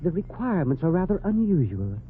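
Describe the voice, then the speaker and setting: An actor playing a fussy, pompous, easily flustered department store floorwalker in a 1940s radio comedy. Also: male voice, a little high, sing-song. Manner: prissy, flustered, haughty, drawn-out exclamations. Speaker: comic supporting actor. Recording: tinny, noisy 1940s radio recording.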